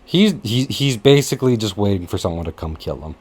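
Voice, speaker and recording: A man with a fairly low voice hosts a casual podcast. He talks in a rambling, animated way, joking and swearing like he is among friends. The style is loose, unscripted chat. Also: brisk, husky. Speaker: podcast host.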